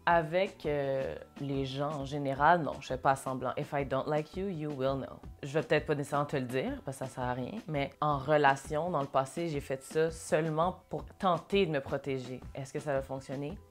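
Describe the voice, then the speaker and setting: Low-voiced Québécois woman, chatty. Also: steady tone, moderate pace, hardly any pauses, faint music behind. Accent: Québécois French. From Montréal, Québec. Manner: chatty, mixes English phrases into French. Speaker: interview guest, singer and dancer. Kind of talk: casual interview talk.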